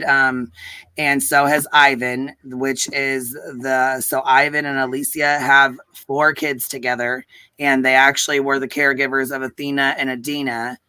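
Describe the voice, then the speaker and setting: Clear, bright man with flat intonation. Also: mid-range voice, no echo. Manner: informal, questioning. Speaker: true crime livestream host. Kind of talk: casual chat, recapping news.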